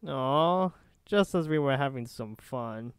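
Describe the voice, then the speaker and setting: A man's voice: mid-range voice, animated, takes it slowly, clear, resonant tone. A man playing a nosy, easygoing young friend in an ASMR roleplay, casual and teasing. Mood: playful.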